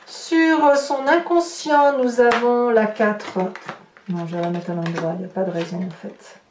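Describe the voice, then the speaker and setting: A woman's voice: fairly high pitch, fairly even intonation, measured pace, darkish tone. A woman giving an informal tarot reading to viewers, chatting and thinking aloud as she handles the cards. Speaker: tarot reader and channel host. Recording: roomy, distant mic with light noise.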